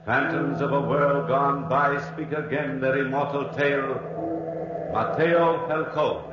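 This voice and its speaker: Noisy, heavily echoing old radio recording of a man playing a ghostly announcer. He intones slowly and solemnly, as if introducing eerie tales. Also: medium pitch, fairly even intonation, close to an everyday voice. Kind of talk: 1940s radio horror announcing. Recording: cavernous echo, muffled, noisy 1940s radio.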